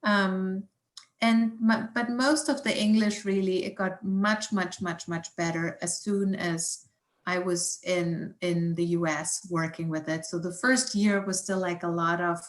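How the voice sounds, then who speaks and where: A woman's voice: lowish voice, fairly even intonation, measured pace. A woman with a German accent, a native German speaker, explaining things informally as a guest scientist answering questions. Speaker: guest environmental scientist and researcher. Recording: roomy, echoey sound.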